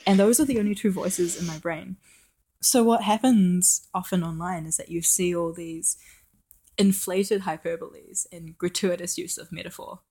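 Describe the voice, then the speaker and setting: A woman's voice: fairly low pitch, fairly even intonation, normal speed, dark tone, husky. A young woman co-hosting a podcast in casual chat, playful, laughing a lot and acting out quotes. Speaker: podcast co-host.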